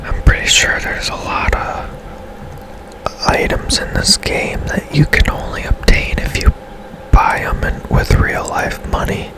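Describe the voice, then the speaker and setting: A man giving casual, hushed ASMR commentary over a video game in a breathy whisper, musing in an unhurried way. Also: rough recording. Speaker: game streamer, host.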